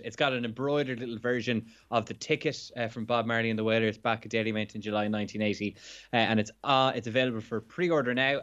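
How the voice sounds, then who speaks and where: Croaky Irish man on a phone line. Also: fairly low pitch, a bit bright. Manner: chatty, laughing, with self-interrupting restarts. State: slightly hungover and croaky. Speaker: sports journalist guest. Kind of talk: radio phone-in chat.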